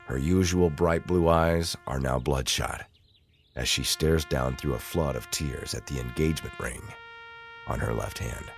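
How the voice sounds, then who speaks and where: A man's voice: a bass voice, measured pace, gravelly, faint music behind. A man giving scripted, dramatic narration as the narrator of an audio drama.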